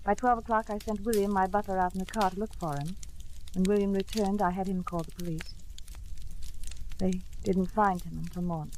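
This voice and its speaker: A woman acting as a wealthy, guarded widow in an old-time radio drama, formal, restrained and measured. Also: medium pitch, fairly even intonation, darkish tone, close to an everyday voice, rough recording. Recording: old radio recording with faint noise.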